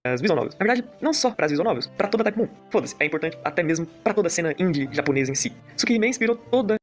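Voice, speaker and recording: Brazilian guy narrating super fast, informal script, music behind him. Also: on the high side, sing-song. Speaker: video essay narrator.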